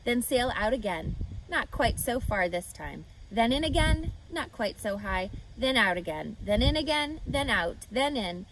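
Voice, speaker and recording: A woman reading a children's book aloud with expressive, animated storytelling. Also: on the high side, a little slow, slight background noise, rough recording. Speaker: reader.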